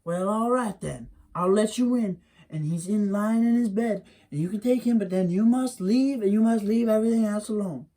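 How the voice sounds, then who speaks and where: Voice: medium pitch, normal speed, mellow, voice pushed up, lightly acted. A storyteller voicing a clever, stubborn country mother who speaks slyly and defiantly. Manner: sly, defiant. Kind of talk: oral folktale telling.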